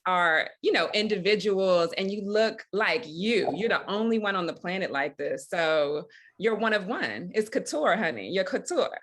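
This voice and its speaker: Bright, forceful woman moderating a panel. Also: medium pitch, animated, normal speed. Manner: affirming, encouraging. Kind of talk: conversational panel hosting.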